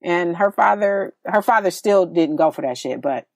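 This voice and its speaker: A woman's voice: fairly low pitch, lively intonation, moderate pace, no echo. Rambling, chatty woman host from California. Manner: rambling, many "like"s and restarts, laughs often. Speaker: podcast host, relationship coach. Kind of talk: casual conversation.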